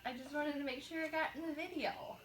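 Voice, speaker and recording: A woman's voice: high voice, steady tone, a little fast. A woman playing a board game for the first time, casually asking short questions about the rules. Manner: curious, asks short questions. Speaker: player learning the game. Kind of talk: casual chat while playing. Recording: roomy room, faint hiss.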